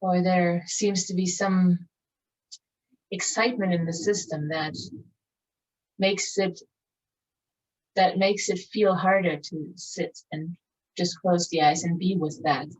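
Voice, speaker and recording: A woman leading a guided meditation in a calm, contemplative, measured manner with long pauses. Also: fairly low pitch, breathy edge. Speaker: meditation teacher. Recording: distant mic, dull muffled sound.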